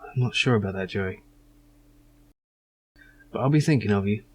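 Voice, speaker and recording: A man's voice: on the low side, normal speed, breathy edge. Man playing a grave young game hero. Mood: calm farewell. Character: earnest, bitter young man confronting his father. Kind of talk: hobby voice acting of adventure game dialogue.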